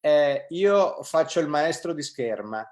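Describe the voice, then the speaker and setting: A man whose native language is Italian, speaking haltingly with many pauses as a guest on a video call. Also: fairly high pitch, expressive, a little mellow. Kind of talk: live online panel conversation.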